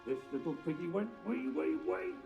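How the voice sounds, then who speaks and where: An actor playing a sadistic torturer in a video game, gleeful and crude, taunting in a sing-song manner. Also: male voice, high-pitched, huge pitch swings, normal speed, vocal fry, dull audio, music in the background, full character voice. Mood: mock-tender, gleeful.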